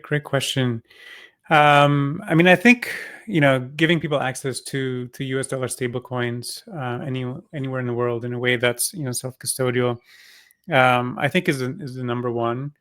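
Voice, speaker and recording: A man from Singapore, a company co-founder, talks through an interview answer in a halting way, full of ums and repeated words. His voice sits at an ordinary middle pitch. The recording is dry, with almost no room sound. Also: fairly bright tone, no echo. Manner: halting, many fillers and restarts. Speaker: crypto company co-founder, guest.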